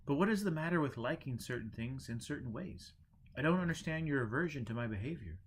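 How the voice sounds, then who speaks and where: A man's voice: mid-range voice, animated, fast talker, slight background noise, voice pushed up, close to an everyday voice. A man voicing a fussy, bewildered monk, once a lawyer, who questions and protests indignantly in a storybook.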